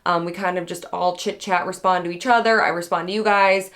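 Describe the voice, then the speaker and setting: A woman hosting a casual book discussion on her channel, her voice hoarse and raspy as if she has partly lost it. She rambles loosely, circling back and correcting herself, with plenty of fillers between thoughts. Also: on the low side, steady tone, fairly quick, fairly bright tone. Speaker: book club vlogger. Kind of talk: casual book review chat.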